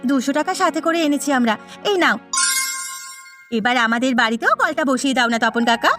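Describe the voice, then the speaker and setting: A woman's voice: high voice, lively intonation, fairly quick, music in the background. A woman voicing an earnest, eager young village snack-seller girl in a cartoon, sing-song and politely pleading. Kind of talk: Bengali cartoon voice acting.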